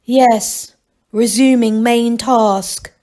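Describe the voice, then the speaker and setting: A woman's voice: fairly high pitch, steady tone, drawn-out words, dark tone. A woman voicing a small, literal-minded machine in a storybook, tinny and formal in clipped, exact phrases. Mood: formal, flat. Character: tinny little thinking machine, pedantic and literal.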